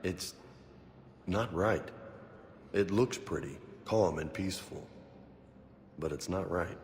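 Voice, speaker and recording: Deep male voice, streetwise soldier, blunt, slangy, disillusioned, reverberant with faint ambience. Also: slow pace, a little mellow, a little hiss or hum. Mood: brooding. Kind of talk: video game dialogue.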